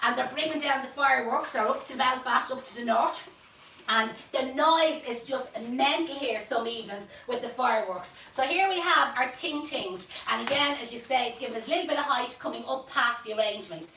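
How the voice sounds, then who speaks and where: A woman's voice: sits high, brisk, bright, forceful tone, slight background noise. A woman with a Dublin accent, hosting a live flower-arranging class and chatting her way through the demonstration. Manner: chatty, homely, jokes asides. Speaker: florist teacher and host. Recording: roomy, mic at a distance.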